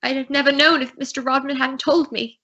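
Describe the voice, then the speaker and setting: A woman's voice: high voice, steady tone, a little fast, pushed and bright. A teenage girl playing a distressed young woman client. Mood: pleading. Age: teens. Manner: earnest and urgent. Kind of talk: student radio drama. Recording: dull lo-fi recording.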